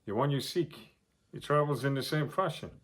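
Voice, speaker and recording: A man's voice: medium pitch, normal speed, fairly bright tone, close to an everyday voice. A man playing a curt, authoritative but courteous ship's captain in a radio drama. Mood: steady, curious. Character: brisk, commanding ship's captain of polar exploration.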